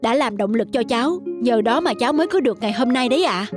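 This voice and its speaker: A woman's voice: fairly high pitch, animated, fast talker, over music, natural voice. Woman voicing a polite, earnest young man. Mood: grateful. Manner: respectful, earnest. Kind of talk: cartoon dialogue acting.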